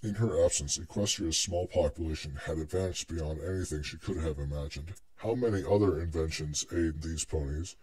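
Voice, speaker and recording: Male narrator for scripted fiction, low register with a husky grain. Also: moderate pace, few pauses, darkish tone, rough recording.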